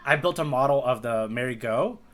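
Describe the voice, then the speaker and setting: A man's voice: medium pitch, fairly quick, crowd noise. A man co-hosting a manga readalong podcast, talking in a casual, conversational style. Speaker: podcast co-host.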